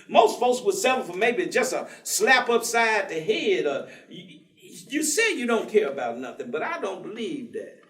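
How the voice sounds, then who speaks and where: A man's voice: sits high, big pitch swings, unhurried, a bit bright, full character voice. An actor playing an earnest, persistent, folksy working man in a staged dramatic reading, speaking colloquially. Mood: searching. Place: theatre stage. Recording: echoey theatre, distant microphone.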